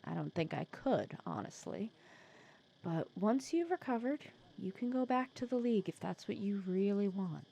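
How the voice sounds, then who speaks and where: A woman playing a sly, secretly caring female supervillain in an intimate ASMR roleplay, casual and teasing, softly breathy. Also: mid-range voice, big pitch swings, moderate pace, dull audio, exaggerated voice. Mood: earnest, concerned. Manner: casual, teasing, persuasive, sardonic.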